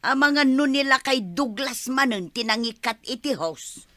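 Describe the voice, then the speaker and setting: A woman's voice: sits high, animated, normal speed, bright, forceful tone, no echo. A woman playing a chatty, friendly but readily indignant neighbour, speaking emphatically in a comic radio drama. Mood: aggrieved.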